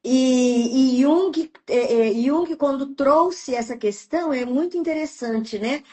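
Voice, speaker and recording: A woman's voice: high-pitched, takes it slowly, dark tone. A woman hosting a conversational interview, reflective and raising her own doubts. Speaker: podcast host.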